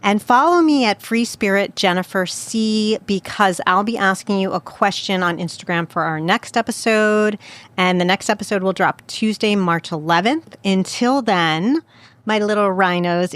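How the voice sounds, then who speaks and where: A woman's voice: medium pitch, normal speed, no room sound. Playful woman podcast host. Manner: playful, laughing, teasing. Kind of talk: casual chat.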